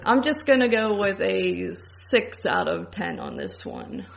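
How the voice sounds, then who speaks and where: A woman's voice: medium pitch, wildly swooping pitch, unhurried, gravelly, slight background noise. A woman co-hosting a podcast, giving her opinions in casual film review chat. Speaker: podcast co-host.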